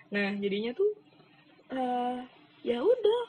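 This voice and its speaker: A woman's voice: fairly high pitch, big pitch swings, takes it slowly, narrow, tinny audio, slight background noise. A young woman, chatting casually and telling stories in slangy speech. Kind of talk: casual chat.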